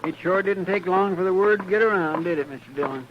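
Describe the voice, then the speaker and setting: Quick, higher-voiced folksy sidekick, played by a man. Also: noisy background, natural voice. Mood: curious. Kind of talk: 1950s radio western acting. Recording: muffled old radio, crowd behind.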